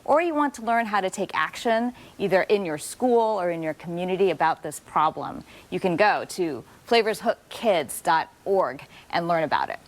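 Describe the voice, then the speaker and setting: Calm, lower-voiced woman doctor explaining. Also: moderate pace, hardly any pauses. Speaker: doctor, expert guest. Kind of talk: expert interview.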